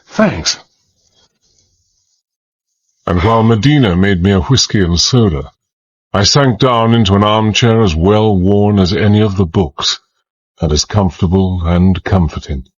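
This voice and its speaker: An actor playing a British gentleman adventurer, dry and weary, in a radio drama that he also narrates in the first person. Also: fairly low pitch, moderate pace, husky, highs cut off. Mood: appreciative.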